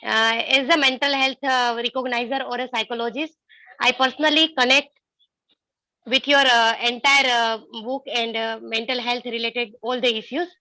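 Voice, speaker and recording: A woman's voice: on the high side, steady tone, normal speed, bright, forceful tone, no room sound, poor audio. An Indian woman giving a spontaneous testimonial as an audience participant.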